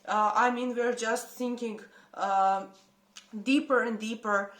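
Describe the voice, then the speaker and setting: A woman's voice: a little high, steady tone. Impassioned Serbian-accented woman, roomy echo. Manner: impassioned, halting with many hesitations. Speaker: protest commentator. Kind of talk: unscripted personal statement.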